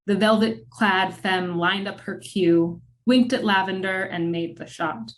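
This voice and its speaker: A woman narrating a scripted film-noir radio drama in measured, dramatic storytelling with wry asides. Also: mid-range voice.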